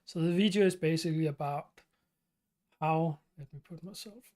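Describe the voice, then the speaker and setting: A man from Denmark with a Danish accent hosts his channel's live stream Q&A. He talks in a halting way, with many fillers and restarts. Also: fairly high pitch, darkish tone. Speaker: channel host.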